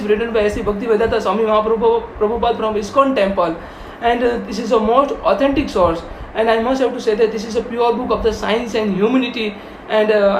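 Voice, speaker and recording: A spiritual teacher with an Indian accent giving a devotional lecture in an earnest, repetitive, halting way. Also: mid-range voice. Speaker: spiritual teacher and video host. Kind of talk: devotional lecture with verse recitation. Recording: roomy echo, faint background noise.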